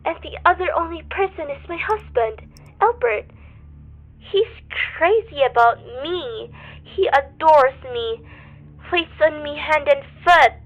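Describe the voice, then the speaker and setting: Radio play: actress as high-strung invalid wife, very high voice, demanding and dramatic, thin telephone-grade sound. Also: female voice, expressive, slow pace. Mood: flustered, defensive. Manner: insistent, dramatic, stammering when alarmed. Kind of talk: old-time radio suspense drama acting. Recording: tinny, phone-like radio drama audio.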